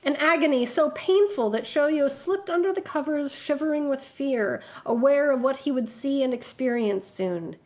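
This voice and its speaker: Casting: high-pitched woman, comedy co-host, dramatic amateur story reading mixed with relaxed banter. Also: normal speed, few pauses. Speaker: reader and co-host of a fanfiction comedy show.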